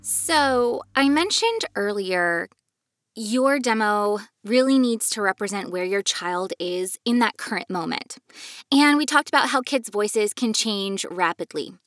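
A woman's voice: medium pitch, animated, measured pace, fairly bright tone, no room sound. Woman podcast host, dry close sound. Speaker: podcast host and voiceover coach. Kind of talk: conversational advice podcast.

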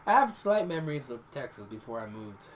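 A man's voice: medium pitch, big pitch swings, brisk, slight background noise. A man who does stand-up comedy, chatting casually and joking with fillers. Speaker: comedian, podcast co-host. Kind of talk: casual chat.

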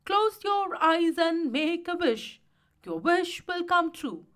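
A kindly old magical tree from a storybook, played by a woman, speaks high and slowly. It coaxes and cautions in earnest, with a lilting, lively melody. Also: full character voice. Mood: friendly. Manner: coaxing, earnest, deliberate.

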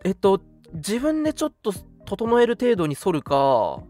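A man's voice: high-pitched, wildly swooping pitch, normal speed, a little mellow. A man in his early twenties co-hosting a radio show in casual chat, often chiming in with agreement. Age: early 20s. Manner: frequent agreeing backchannels. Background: background music.

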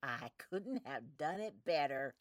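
A woman's voice: low voice, expressive, unhurried, a little hiss or hum. An actress playing a commanding adult woman of cold authority in an audio play, speaking in emphatic declarations. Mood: menacing, contemptuous.

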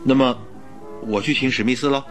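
An actor playing an earnest, courteous doctor in a radio drama, speaking politely and with concern. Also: male voice, medium pitch, steady tone, a little fast, faint music behind, close to an everyday voice. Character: earnest, courteous male doctor, detective's worried friend. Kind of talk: Mandarin radio drama acting.

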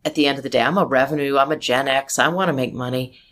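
A woman's voice: a bass voice, fast talker, a bit bright. A woman in her forties, a marketing executive, talking in an interview in an emphatic, outspoken and optimistic way. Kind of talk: interview conversation.

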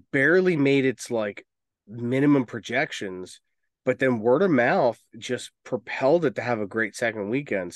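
Male podcast co-host, mid-pitched and closely recorded, opinionated and loose-tongued in casual conversation. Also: no room sound, clean studio sound. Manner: rambling, opinionated, sweary. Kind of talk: casual chat about movies and video games.

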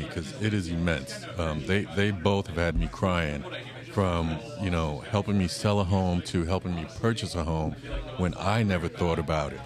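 A man co-hosting a casual chat at an event. He talks with enthusiasm and often repeats words as he starts a sentence. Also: low voice. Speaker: co-host. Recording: crowded room chatter.

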